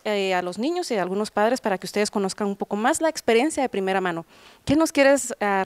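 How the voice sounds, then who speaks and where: A woman's voice: mid-range voice, clear, resonant tone, no room sound. A middle-aged woman hosting an on-location television interview. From Guatemala. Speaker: TV host, interviewer. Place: large hall with seated group, indoors. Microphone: handheld interview microphone.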